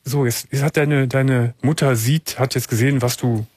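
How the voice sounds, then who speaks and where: A man hosts a late-night radio call-in show and asks calm, probing questions. His voice sits in a middle register with a slightly husky grain. The studio sound is close and dry. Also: mid-range voice, moderate pace, no echo. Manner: calm, probing questions with frequent soft "mhm"s. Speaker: radio talk show host.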